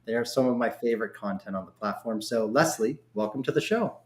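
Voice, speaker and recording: A man hosts a relaxed interview show in an echoey room. His voice sits at a middle pitch, and he feels his way through questions informally, restarting and rewording as he goes. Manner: informal, halting, rephrases as he goes. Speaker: podcast host. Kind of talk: casual conversational interview. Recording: roomy, echoey sound.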